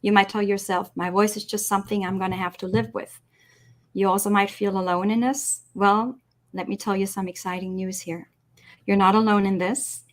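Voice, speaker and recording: Woman guest expert, clear teaching delivery, mid pitch. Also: little pitch movement, moderate pace. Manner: clear, instructive, demonstrates voices. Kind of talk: explanatory podcast interview.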